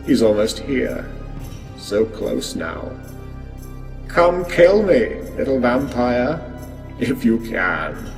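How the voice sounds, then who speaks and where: An actor playing a mad, grief-stricken sorcerer, delivering brooding, theatrical monologues in an audio drama, bitterly resigned to doom. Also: male voice, mid-range voice, big pitch swings, drawn-out words, pauses often, darkish tone, full character voice. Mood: weary defiance. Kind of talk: fan audio drama acting. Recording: echoey cavernous reverb with music.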